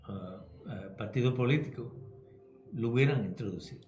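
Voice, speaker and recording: A man's voice: medium pitch, lively intonation, moderate pace, darkish tone, roomy sound, a little hiss or hum. Older Cuban man, emphatic radio talk. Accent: Cuban Spanish. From Cuba. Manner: emphatic, checks in with "¿ok?". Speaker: radio co-host, pastor and psychologist.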